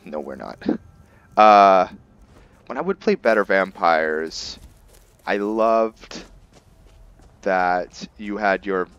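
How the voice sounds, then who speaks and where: A man's voice: deep voice, a bit bright, highs cut off. A man giving casual game commentary to viewers, rambling as he thinks aloud and explains things. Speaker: gameplay video creator, player. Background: music.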